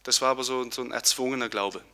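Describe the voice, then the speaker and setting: A young man from Poland, giving an earnest personal testimony with pauses and restarts. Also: medium pitch, fairly even intonation, bright, forceful tone. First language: Polish. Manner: earnest, searching, with fillers and restarts.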